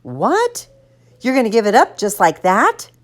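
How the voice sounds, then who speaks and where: A woman puts on an exasperated mother from a children's storybook. She is firm and emphatic, with pitch leaping widely as she lays down the rules. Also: huge pitch swings, unhurried. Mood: shocked, scolding. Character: exasperated, firm, bargaining mother of a young boy.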